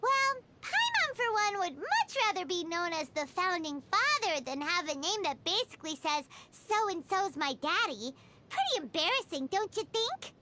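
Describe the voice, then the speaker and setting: A woman voicing a small, childlike flying companion in a video game, squeaky, bubbly and excitable. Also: normal speed, slight background noise, exaggerated voice. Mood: puzzled. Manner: bubbly, exclamatory, speaks of herself in third person. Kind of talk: scripted video game dialogue.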